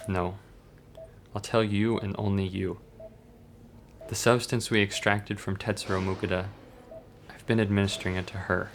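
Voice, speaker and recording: A man playing a brooding, obsessive doctor in a horror manga dub, speaking in a grave, detached, gravelly way. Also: fairly low pitch, little pitch movement, moderate pace, long pauses, slight background noise. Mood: coldly intense. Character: brooding, obsessive male doctor, coldly rationalizing human experiments.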